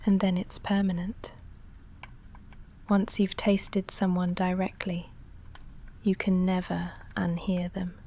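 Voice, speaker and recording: A woman playing a shy, lovestruck vampire in an intimate ASMR roleplay, speaking softly and breathily with halting, confessional hesitation, often in a whisper. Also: lowish voice, monotone, slight background noise. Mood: hushed longing. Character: shy lovestruck female vampire roommate, confessing and self-restrained.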